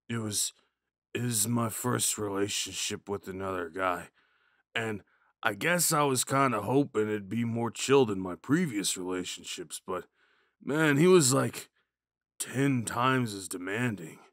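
Man acting a slow-talking drunk himbo jock. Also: medium pitch, fairly bright tone, dry recording, clean studio sound. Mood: venting, wistful. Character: drunk, dim, sweet young male college jock. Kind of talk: ASMR audio roleplay.

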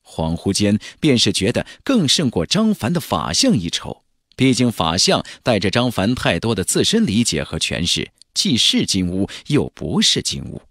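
A man narrating a fantasy audiobook in a theatrical, expressive storytelling manner. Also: fairly low pitch, normal speed, no echo, studio quality. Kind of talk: dramatic scripted narration of a fantasy novel. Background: background music.

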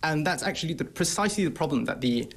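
A young man, a think-tank researcher on a video call from an office, making brisk, assertive points. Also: on the high side, animated, gravelly, husky. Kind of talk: remote interview commentary. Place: office room.

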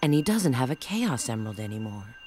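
A woman's voice: very deep voice, sing-song, brisk, dark tone, slight background noise. An actress playing a sly, confident female bat treasure hunter in a video game, with a cool, teasing manner. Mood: cool, businesslike. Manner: cool, teasing, self-assured. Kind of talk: video game cutscene acting.

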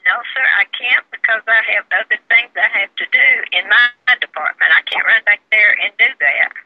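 A woman's voice: on the high side, normal speed, slightly rough. A woman working in a store, explaining things plainly on a customer service phone call. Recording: tinny noisy phone line.

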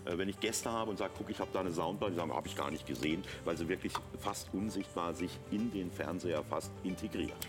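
A man's voice: low-pitched, fast talker, few pauses. A middle-aged man presenting enthusiastically on a TV shopping show, explaining products as he sells them. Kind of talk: live teleshopping product pitch. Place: TV shopping studio. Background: music.

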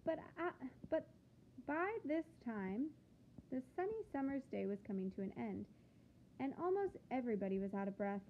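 A woman with a fairly high voice reads a picture book aloud to children, expressively and with deliberate phrasing. Her voice has a clear creak, and she pauses to put questions to her young listeners. The sound is thin and phone-like, with some background noise. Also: big pitch swings. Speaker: teacher reading aloud to children.

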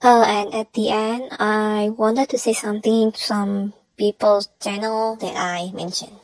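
A woman with an altered voice reading out a prepared announcement in simple, halting phrases. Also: mid-range voice, little pitch movement, no echo, low-quality recording. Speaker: channel creator announcing audition winners. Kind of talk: semi-scripted announcement, reading prepared notes.

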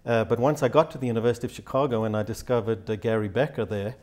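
A man, a guest economist speaking in a measured, thoughtful way in a studio interview. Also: on the low side, darkish tone. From South Africa. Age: 60s. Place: TV studio set, seated in armchair.

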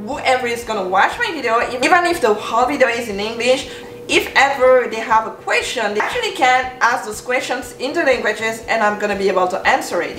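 A young woman whose first language is French speaks English with a French accent, upbeat and encouraging, and she leans on repetition for emphasis. Her voice sits on the high side. It is recorded from some distance, in a room that adds a noticeable echo. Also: fairly bright tone. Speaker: YouTuber. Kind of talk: talking-head advice vlog. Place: bedroom with bookshelves, indoors. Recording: roomy sound, mic at a distance.

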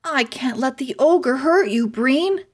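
A woman's voice: high voice, lively intonation, takes it slowly, pitched above the performer's own voice, full character voice. A woman voicing a brave, earnest young boy hero in a children's fantasy story. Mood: defiant.

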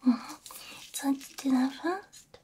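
A woman whispering gently and breathily in an ASMR roleplay as a caring, soothing technician, close to a binaural microphone. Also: high-pitched, takes it slowly, a little hiss or hum. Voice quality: breathy, mostly unvoiced whisper. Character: caring technician repairing a robot's ears.

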